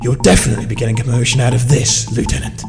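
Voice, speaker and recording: A man's voice: mid-range voice, flat delivery, very fast, close to an everyday voice. A man playing an officious starship lieutenant commander in an audio drama. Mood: smug, hurried. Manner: crisp, officious, smug. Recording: voice under loud music. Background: loud music.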